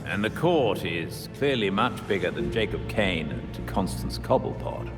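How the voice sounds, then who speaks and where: A man's voice: low-pitched, animated, a little slow. A man playing a loyal, formal older adviser who speaks precisely in a video game. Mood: measured. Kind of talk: video game cutscene acting. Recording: reverberant hall, music underneath.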